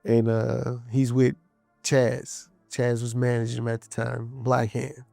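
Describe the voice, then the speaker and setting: A man's voice: mid-range voice, level tone, unhurried, creaky, dark tone, no room sound, faint music behind. A man casually recounting a past fight in an interview, emphatic and loose, acting out what people said. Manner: loose, emphatic, quotes people in his story.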